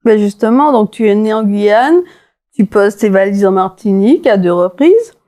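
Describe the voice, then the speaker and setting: A woman's voice: mid-range voice, lively intonation, normal speed, warm tone. A woman hosting a television interview, asking her guest questions in a conversational way. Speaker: interview show host.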